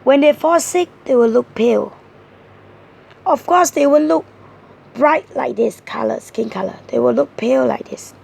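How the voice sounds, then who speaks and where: A hoarse woman talks through a tarot spread, explaining each card earnestly and point by point. Her voice sits high, with a heavy gravelly fry running through it, and a husky, breathy edge. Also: big pitch swings, normal speed, darkish tone. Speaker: tarot reader. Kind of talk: tarot card reading monologue.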